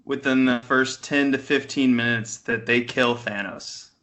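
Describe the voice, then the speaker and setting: Bright-voiced man chatting on a podcast. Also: medium pitch, fairly even intonation, measured pace. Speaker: podcast co-host reviewing films. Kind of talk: casual film discussion. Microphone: internet voice call. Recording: Skype call audio.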